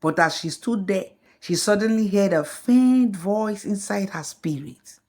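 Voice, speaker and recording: A woman telling a folktale as scripted storybook narration, in a slow, measured way. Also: medium pitch, darkish tone.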